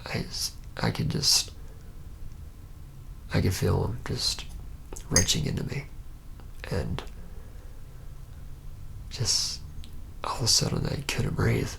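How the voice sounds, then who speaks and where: Guy acting as young vampire dude, rueful n haunted, slow halting asmr whisper w tons of gravelly fry. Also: lowish voice, a bit bright, slight background noise, exaggerated voice, low-quality recording. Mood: haunted, fearful. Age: young adult. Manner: halting, hesitant storytelling with heavy pauses. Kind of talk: whispered ASMR roleplay monologue.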